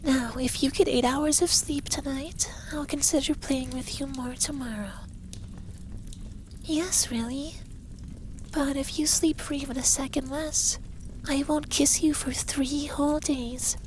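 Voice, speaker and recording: A man playing a possessive, stern but caring effeminate dragon lover in an intimate ASMR roleplay, soft and breathy with whispers. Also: extremely high pitch, fairly even intonation, measured pace, slight background noise, poor audio. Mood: drowsy, firm. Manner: commanding, teasing, tender.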